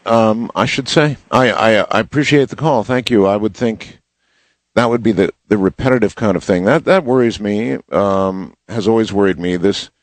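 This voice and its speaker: Male call-in radio host, low register, dry studio sound, measured and reflective delivery. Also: no echo. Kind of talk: late-night radio talk.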